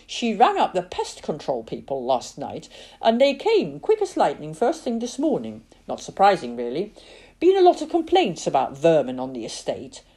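A woman reads as a chattering, fussing middle-aged aunt in a storybook, raising her voice as she nags and passes on gossip. Her pitch swings widely, and the voice stays husky underneath. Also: huge pitch swings, moderate pace, mellow, heavily acted. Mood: gossipy. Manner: chattering, scolding, full of hearsay.

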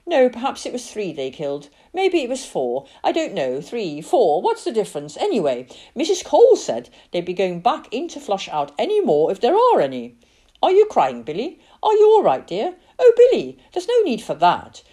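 A woman's voice: fairly high pitch, huge pitch swings, normal speed, warm tone, pitched above the performer's own voice. A woman voicing a gossipy, nagging middle-aged aunt who chatters on about hearsay and scolds a boy at the supper table. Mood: fussing.

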